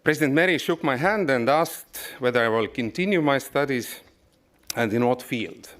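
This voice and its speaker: A man in his forties from Estonia giving a formal lecture, speaking in a measured way with some halting restarts. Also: medium pitch, animated, fairly bright tone. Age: 40s. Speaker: guest lecturer.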